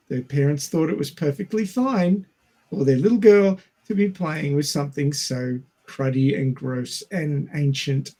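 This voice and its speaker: Man, fairly high voice, casual podcast co-host, explanatory, rhetorical questions. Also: darkish tone. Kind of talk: casual film discussion.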